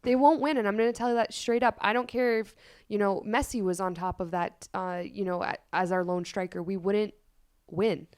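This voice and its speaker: A woman whose first language was Polish talks football on a podcast, blunt and outspoken. Her voice is smooth and clear, set at an ordinary middle pitch. She speaks in relaxed, casual co-host chat. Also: moderate pace.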